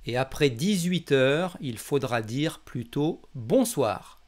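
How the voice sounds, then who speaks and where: A man's voice: mid-range voice, expressive, takes it slowly. A man from France with a French accent, teaching a language lesson in a didactic way and carefully enunciating example phrases.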